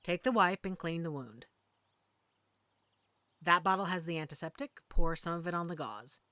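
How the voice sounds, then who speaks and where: A woman plays a werewolf mother in charge of her pack in an audio roleplay. Her voice sits fairly low and is recorded dry. She gives orders calmly, with a protective firmness. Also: normal speed, no echo. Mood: steady, instructing. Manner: calm, commanding, protective.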